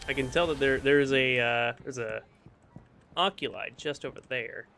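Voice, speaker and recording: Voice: mid-range voice, normal speed, vocal fry, fairly bright tone, slight background noise. A game streamer giving casual, joking commentary in a playful, quippy way. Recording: game music behind.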